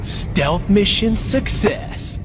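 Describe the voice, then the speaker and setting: An actor playing a cocky, easygoing young outlaw leader in a space adventure game. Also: male voice, sits high, animated, very slow, music in the background, exaggerated voice. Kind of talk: animated game cutscene.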